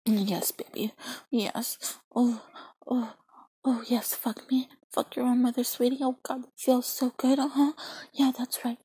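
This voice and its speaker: A young woman voicing a doting, possessive mother figure in a whispered adult audio roleplay, speaking softly and breathily close to the mic. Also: a little high, flat delivery, measured pace, husky, no echo. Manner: soft, intimate, often whispering. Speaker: voice performer.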